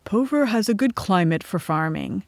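A woman voicing a calm, victorious rebel king in a storybook, speaking in a measured, stern and matter-of-fact way. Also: mid-range voice, vocal fry, no room sound. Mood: calm, firm.

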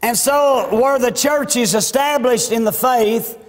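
Southern baptist preacher guy in his 60s, really high voice, folksy and emphatic, big echoey church. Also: slow pace. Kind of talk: fervent church sermon with scripture reading. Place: church sanctuary, indoors. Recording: echoey church hall with long reverb.

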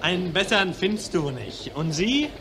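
An obliging wartime salesman speaks politely in a high voice. The old radio drama recording has clear background noise. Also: big pitch swings, moderate pace. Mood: pleased, pitching. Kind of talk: 1940s German radio drama acting. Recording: noisy 1940s radio recording.

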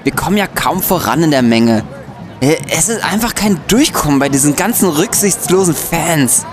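A man's voice: on the high side, expressive, normal speed, over music, crowd noise, close to an everyday voice. A man playing an easily amazed, grumbling young student detective in a radio play, hesitant and exclaiming. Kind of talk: scripted radio play acting.

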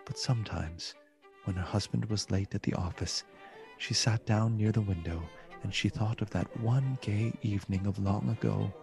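An actor as the storyteller of a gothic radio play, narrating theatrically and deliberately in a gravelly, husky voice. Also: male voice, lowish voice, fairly even intonation, darkish tone, faint music behind. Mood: somber. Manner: deliberate, theatrical, savoring repeated words. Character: male storyteller of a gothic tale. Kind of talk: dramatic scripted radio narration.

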